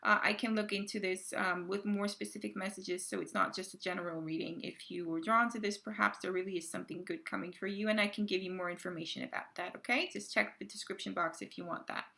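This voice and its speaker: Gravelly woman reading tarot cards. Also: medium pitch, steady tone, pushed and bright. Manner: chatty, halting, many fillers and restarts. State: slightly raspy from a cold. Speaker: tarot card reader. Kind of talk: casual tarot reading to camera.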